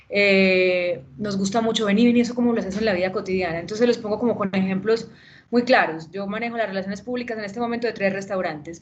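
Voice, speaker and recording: A woman who works in public relations, explaining her work with examples on an academic panel. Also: medium pitch. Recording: dull lo-fi sound.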